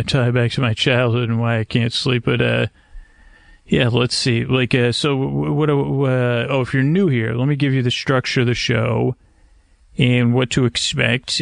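A man hosting a sleep podcast in a halting, digressive, meandering monologue, often interrupting himself and trailing off, with a gravelly creak to his voice. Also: mid-range voice, dry recording, studio quality. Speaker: podcast host. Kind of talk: rambling, meandering bedtime monologue to help listeners sleep.

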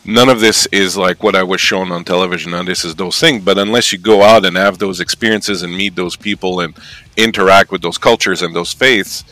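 A young man from Canada chats as a guest, his voice very low yet bright and forceful. He reflects in a rambling way, leaning on fillers. Quiet music sits in the background. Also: fairly even intonation, fairly quick, dry recording, poor audio. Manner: thoughtful, rambling, many fillers. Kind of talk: casual chat.

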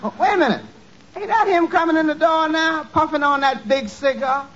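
A man's voice: very high voice, normal speed, background noise. An actor playing a droll male tavern sidekick in a 1940s radio comedy. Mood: droll, observant. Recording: muffled 1940s radio recording.

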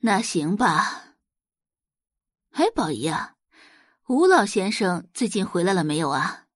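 A woman puts on a haughty society mother of middle age in an audio drama. She talks with scorn and indignation, looking down on others. The voice is a little husky and recorded very dry. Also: medium pitch, very animated, slow, no echo. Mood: composed, bossy. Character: haughty, contemptuous middle-aged society mother, snobbish and quick to anger.

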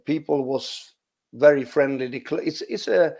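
A man with a Swedish accent, a former footballer, answering interview questions in a relaxed, halting way, full of restarts and "you know". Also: a little high, gravelly, husky. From Sweden. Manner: hesitant, many restarts and "you know" fillers.

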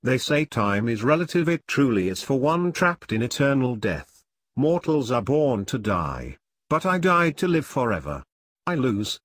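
A man's voice: mid-range voice, very animated, a little slow, a bit bright, dry recording, clean studio sound, pitched above the performer's own voice. A man voicing the Reaper, a sad immortal lord of the dead, declaiming mournfully in a dramatic podcast story.

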